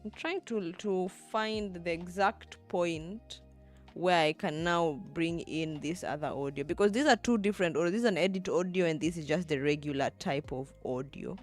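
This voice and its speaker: A young woman in her twenties, live-streaming as she edits and chatting with viewers, thinking aloud with soft laughs. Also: fairly low pitch, moderate pace, faint music behind. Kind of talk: livestream, talking to chat while editing.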